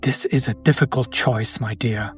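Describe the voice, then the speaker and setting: A man's voice: medium pitch, steady tone, normal speed, soft background music, lightly acted. A man playing a charming, old-world vampire in a romantic audio roleplay, speaking to his beloved in formal, attentive, archaic phrasing. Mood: yearning, tender. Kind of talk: romantic audio roleplay, intimate scripted acting.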